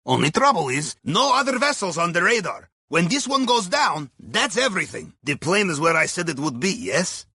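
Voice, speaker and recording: Russian sub pilot for a video game, wry n polite, bright raspy edge, bone dry close sound. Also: male voice, high voice, big pitch swings, moderate pace, few pauses, dry recording, exaggerated voice. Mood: wry, businesslike. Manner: wry, deferential, clipped grammar with dropped articles. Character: wry, courteous Russian submarine pilot and heist adviser. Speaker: mission handler on comms. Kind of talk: scripted video game dialogue.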